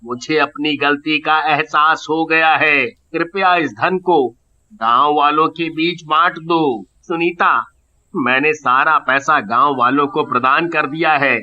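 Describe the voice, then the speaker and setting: A man's voice: fairly high pitch, slow pace, bright, forceful tone, exaggerated voice. A man playing a frightened, pleading village merchant in a cartoon moral story, dramatic in delivery. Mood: earnest. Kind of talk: animated Hindi moral story acting. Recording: dull lo-fi audio.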